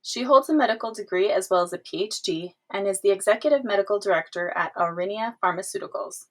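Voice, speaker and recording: Woman host reading a measured intro. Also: mid-range voice. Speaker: podcast host. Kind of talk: scripted intro and interview questions.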